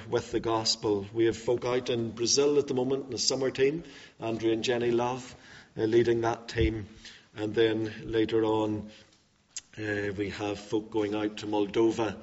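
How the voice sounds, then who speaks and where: A middle-aged man with a Northern Irish accent, the assistant director of a mission, addressing a church congregation in a measured, earnest way with frequent "uh" pauses. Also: mid-range voice, muffled recording, slight background noise. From Northern Ireland.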